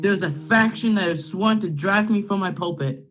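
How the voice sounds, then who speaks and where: Dull, lo-fi audio with music clearly underneath carries a man playing a middle-aged Puritan minister. He is stern yet fearful for his position, questioning urgently in formal, archaic phrases, as in a period radio drama. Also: high voice, moderate pace, lightly acted. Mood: stern, anxious. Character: anxious, self-protective Puritan minister, stern uncle. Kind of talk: period stage drama acting, radio play. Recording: dull lo-fi sound with music behind.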